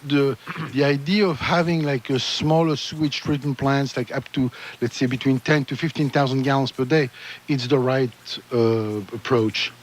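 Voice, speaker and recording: A man with a French accent speaks in a medium, ordinary pitch. He talks as a radio guest, often stopping and restarting his sentences as he explains. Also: steady tone, normal speed, slight background noise, rough recording. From France. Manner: hesitant, many restarts. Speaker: guest architect. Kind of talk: radio interview.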